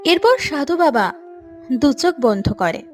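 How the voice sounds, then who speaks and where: A woman's voice: high-pitched, sing-song, measured pace. A woman narrating a scripted story as the storyteller. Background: soft music.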